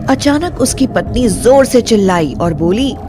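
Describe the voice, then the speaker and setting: A woman's voice: fairly high pitch, animated, measured pace. A woman narrating a scripted horror story as a storyteller. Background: music.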